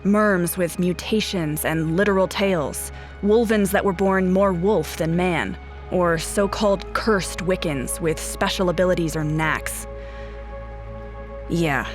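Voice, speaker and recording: An actress playing a guarded, haunted police officer in a scripted audio drama, speaking in terse, brooding, deliberate phrases. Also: female voice, fairly low pitch, natural voice. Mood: bitter, confessional. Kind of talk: scripted audio drama acting with first-person narration. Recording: voice over prominent music bed. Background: dramatic music.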